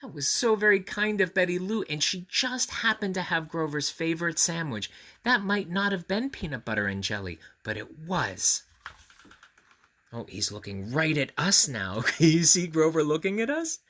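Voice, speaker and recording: A man reads a picture book aloud to children with a bright voice and lively, swooping pitch. He is expressive and confiding, often stepping out of the story to ask the listener questions. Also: moderate pace. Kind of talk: animated read-aloud with friendly asides to children.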